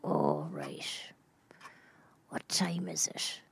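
A woman playing a frail, confused, dying elderly aunt in a radio play, with a creaky, breathy voice. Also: low-pitched, huge pitch swings, extremely slow, in a whisper, heavily acted. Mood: weary, confused. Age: 80s.

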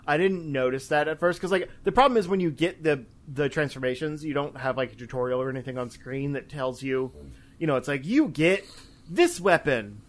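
A man's voice: medium pitch, brisk. Casual, rambling man talking games. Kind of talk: casual chat about video games.

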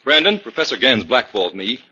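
An actor playing an ambitious, combative younger scientist in a 1940s radio drama. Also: male voice, mid-range voice, very animated, a little fast, bright, forceful tone, husky, heavily acted. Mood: bitter, angry. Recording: dull 1940s radio recording.

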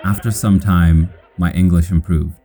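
A man from Kenya with an American accent, calmly narrating his own story in measured sentences. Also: deep voice. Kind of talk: scripted narration.

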